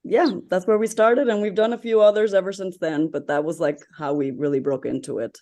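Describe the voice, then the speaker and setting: A woman introducing herself on a video call, chatty and relaxed with frequent ums. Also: lowish voice, fairly quick. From lives in Orlando, Florida. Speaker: guest, entrepreneur mother. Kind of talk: casual video-call chat.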